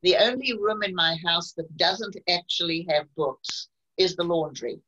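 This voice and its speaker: A woman's voice: lowish voice, a little slow, fairly bright tone, husky. A woman in her sixties, hosting an online author interview and asking questions in a chatty, enthusiastic way. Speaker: interviewer host. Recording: dull lo-fi call audio.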